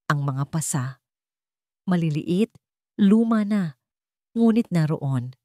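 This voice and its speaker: A woman storyteller reading a scripted drama in deliberate, short dramatic phrases. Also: lowish voice, warm tone, no room sound, studio quality.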